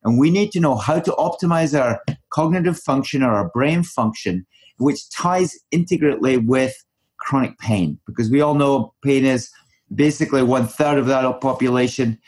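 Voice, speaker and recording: A man hosts a podcast with upbeat, chatty enthusiasm, introducing his guest and asking easy opening questions. His voice sits at an ordinary middle pitch. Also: moderate pace, a little mellow. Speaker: podcast host, physician. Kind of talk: podcast interview.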